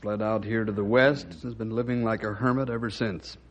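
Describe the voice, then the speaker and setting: A man's voice: fairly low pitch, fairly even intonation, brisk, natural voice. A man playing an amiable, matter-of-fact district ranger in an old-time radio drama, easygoing and plain-spoken. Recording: muffled, band-limited vintage radio recording.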